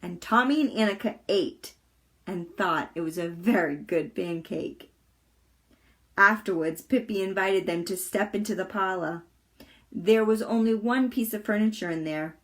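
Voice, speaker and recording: A woman reading a children's chapter book aloud with deliberate, expressive storytelling. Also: medium pitch. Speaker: volunteer storybook reader.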